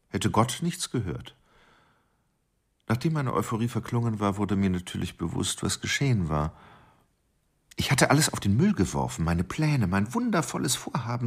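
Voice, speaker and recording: A brooding male killer in a radio drama monologue. The voice is very deep and slow, with a heavy creak. He is intense and halting, often sinking toward a whisper over faint music. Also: exaggerated voice. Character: obsessive, brooding male killer confessing his crimes.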